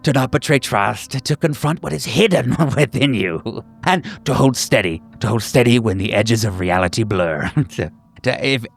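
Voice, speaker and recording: A man voicing a fawning, sinisterly earnest group therapy doctor in a tabletop game, halting and repeating himself emphatically with nervous chuckles. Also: mid-range voice, creaky. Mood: agitated, effusive. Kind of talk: theatrical group-session address.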